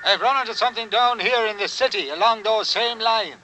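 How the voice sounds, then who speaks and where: An actor playing a brisk, businesslike man reporting in, in a 1950s radio drama. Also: sits high, noisy background, close to an everyday voice. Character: brisk male colleague reporting findings over the radio.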